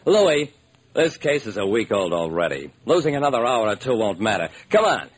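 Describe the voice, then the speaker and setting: A man's voice: fairly low pitch, big pitch swings, husky. An actor playing a shrewd, wry private detective who narrates his own case in an old-time radio drama. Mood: wry, brisk. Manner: wry, brisk storytelling. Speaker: narrator and lead character. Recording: slightly noisy old radio recording.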